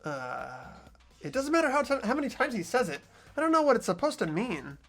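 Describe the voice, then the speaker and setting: A woman's voice: fairly high pitch, sing-song, brisk, a bit bright, soft background music, heavily acted. A woman voicing a puzzled teenage student who narrates inner thoughts in a fan-voiced video game. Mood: baffled.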